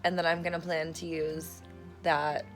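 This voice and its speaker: A woman vlogging at home on her phone, her voice hoarse and rough, chatting in a meandering way with lots of fillers and casual swearing. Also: deep voice, level tone, unhurried, faint music behind. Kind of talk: casual rambling vlog chat. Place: at home, in a room being turned into an office. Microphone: phone camera recording herself.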